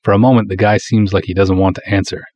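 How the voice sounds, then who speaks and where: Guy doing a small town cop telling his pulp case story, low raspy-ish voice, breezy n proud, wisecracks. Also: little pitch movement, fast talker, a little mellow, muffled recording. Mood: confident, casual. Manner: breezy, colloquial, hard-boiled wisecracking delivery. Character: proud, folksy small-town male lake cop, pulp detective narrator. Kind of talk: dramatic first-person pulp story narration.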